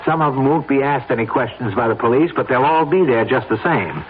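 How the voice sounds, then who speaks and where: An actor as insistent schemer, tinny radio sound. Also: male voice, medium pitch, expressive, fairly quick, lightly acted. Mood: eagerly persuasive. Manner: insistent, smooth-talking, confiding. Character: scheming, persuasive man plotting a staged fatal accident. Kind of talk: radio drama acting. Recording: lo-fi phone-like radio broadcast, background noise.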